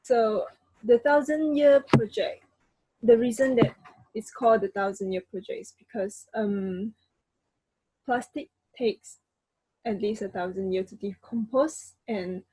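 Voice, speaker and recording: A woman's voice: on the high side, dark tone, slightly rough, no room sound, poor audio. A young woman with a Malaysian accent giving an informal, hesitant student presentation over an online call. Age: young adult. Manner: hesitant, halting.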